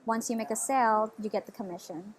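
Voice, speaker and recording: A woman's voice: on the high side, fairly even intonation, fast talker. A woman in her twenties from the Philippines, telling her story eagerly in a casual interview, with lots of "like" and "you know". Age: 20s. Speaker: interview guest.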